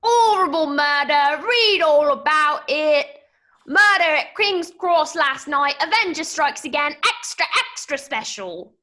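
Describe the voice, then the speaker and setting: A woman acting an excitable newsboy hawking headlines in the street in an old radio mystery play. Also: sits high, unhurried, heavily acted. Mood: excited hawking. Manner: loud street-hawker calling.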